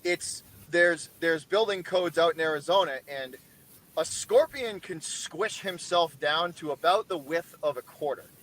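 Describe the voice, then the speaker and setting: A man's voice: on the high side, unhurried, fairly bright tone, slight background noise, poor audio. A man from Boston with a Boston accent, chatting casually on a group stream, rambling and repeating himself. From Boston, Massachusetts.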